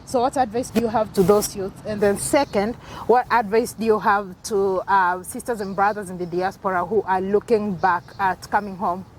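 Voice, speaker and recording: A woman hosts an interview in a mid-range voice. She thanks her guest warmly and asks long, halting questions, pausing on fillers. Faint background noise sits under her voice. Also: medium pitch, steady tone, rough recording. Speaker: host.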